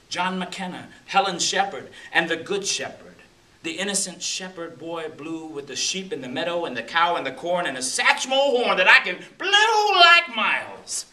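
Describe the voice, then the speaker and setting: A man's voice: fairly high pitch, bright, forceful tone. A middle-aged American man performing spoken-word poetry with a dramatic, rhythmic delivery that swells and drops. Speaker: poet reading his own work. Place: public library room at a poetry reading. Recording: distant mic, echoey room, faint hiss.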